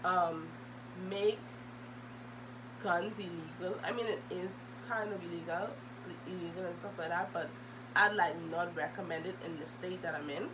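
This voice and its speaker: A woman's voice: lowish voice, moderate pace. A young adult woman, chatting casually and hesitantly as she answers questions for a vlog. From Bahamas. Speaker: video host. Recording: loud humming background noise.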